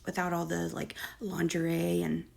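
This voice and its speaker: A woman's voice: lowish voice, monotone, moderate pace. A woman losing her voice, reading posts aloud in a casual gossip commentary with sarcastic, mocking asides. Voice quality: creaky, gravelly. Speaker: commentary creator.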